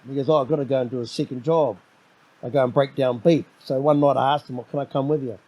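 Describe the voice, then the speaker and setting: A middle-aged man with a New Zealand accent, a podcast guest in casual conversation, with a loud, domineering voice that rambles and repeats words as he starts his sentences. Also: mid-range voice, fairly even intonation, quick pace, rough recording.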